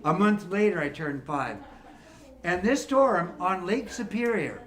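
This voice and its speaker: A man's voice: high-pitched, expressive, a little slow, fairly bright tone. A man in his fifties from Ontario, a musician chatting and teasing an audience between songs. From Ontario, Canada. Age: 50s. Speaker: musician entertaining seniors. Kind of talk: joking banter between songs.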